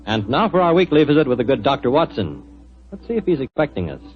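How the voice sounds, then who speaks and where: Lively old-time radio announcer, man. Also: medium pitch, quick pace. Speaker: radio announcer and sponsor host. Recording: dull 1940s radio recording.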